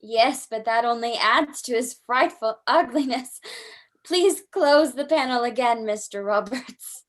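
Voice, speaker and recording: An actress playing a proper, easily horrified daughter, speaking with polite, earnest drama in old-time radio style. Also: female voice, a little high, unhurried, natural voice.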